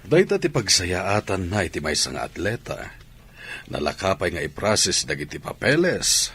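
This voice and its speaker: Man acting a deep, husky Ilocano coach. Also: big pitch swings, moderate pace, fairly bright tone, exaggerated voice. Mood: instructive. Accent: Ilocano Filipino. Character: adult male athletics coach, calm, instructive, reassuring. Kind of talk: Ilocano radio drama acting.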